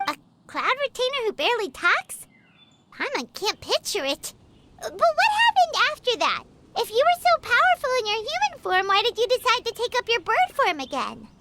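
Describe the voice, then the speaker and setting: A woman voicing a tiny, excitable fairy companion who speaks of herself in the third person. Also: very high-pitched, normal speed, noisy background, full character voice.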